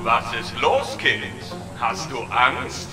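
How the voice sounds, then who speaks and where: A man plays a menacing male machine, an evil talking truck in an audio drama. He draws out his taunts vengefully, with clear music behind him. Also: mid-range voice, huge pitch swings. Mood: taunting. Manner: threatening, taunting, drawn-out. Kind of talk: German audio drama villain acting.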